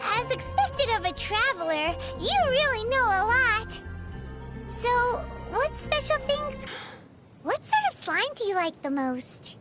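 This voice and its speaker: Tiny childlike fairy, very high-pitched, swooping lively delivery, bubbly, easily offended, video game character. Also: female voice, measured pace, heavily acted. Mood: cheerful, proud. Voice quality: squeaky, childlike. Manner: refers to self in third person, exclaiming, bubbly. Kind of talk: English video game voice acting. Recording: music behind the voice. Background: music.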